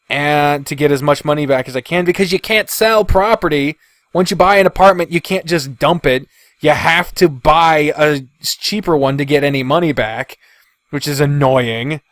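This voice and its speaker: A man's voice: on the high side, no echo, studio quality. A man hosting a podcast, talking casually and bluntly about video games with lots of swearing and complaints. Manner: frequent swearing, blunt, griping. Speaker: podcast host. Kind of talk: casual opinionated video game commentary.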